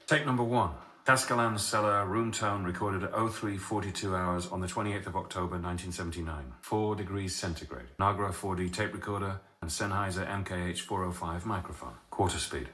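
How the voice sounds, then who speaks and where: An actor playing a sardonic sound engineer with a wry, sarcastic way of talking. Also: male voice, low voice, fairly quick, hardly any pauses, sharp tone. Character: sardonic male sound engineer, dry wit. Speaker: sound researcher. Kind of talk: radio drama acting.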